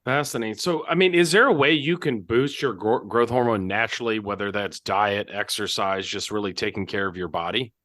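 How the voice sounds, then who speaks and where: Man, podcast host, lowish voice, friendly, conversational. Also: fairly quick, hardly any pauses, fairly bright tone. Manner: friendly, conversational, asks open questions. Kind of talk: casual interview questions.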